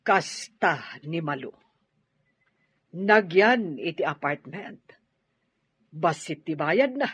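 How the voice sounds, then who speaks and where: A woman's voice: low-pitched, lively intonation, slow pace, pauses often, breathy edge, exaggerated voice. An actress playing a protective, stern middle-aged mother in a radio drama, giving firm advice. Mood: stern, indignant. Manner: firm, advising. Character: protective, stern mother urging her daughter to retaliate. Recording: dull lo-fi, dry radio drama audio.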